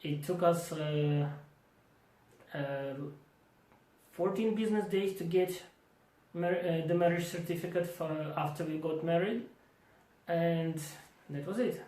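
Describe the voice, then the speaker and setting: A man whose first language is Russian, explaining things calmly and matter-of-factly as co-host of a couple's vlog. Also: on the high side, measured pace, echoey room, low-quality recording. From Israel. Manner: calm, explanatory, matter-of-fact. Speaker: vlogger, co-host of a couple's channel. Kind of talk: casual conversational vlog.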